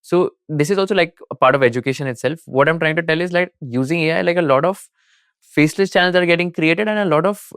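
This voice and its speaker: Podcast host: man, quick and chatty, smooth clear tone, dry room. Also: on the high side, a bit bright, dry recording. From India. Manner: quick, filler-heavy, many "like"s. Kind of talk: casual interview chat.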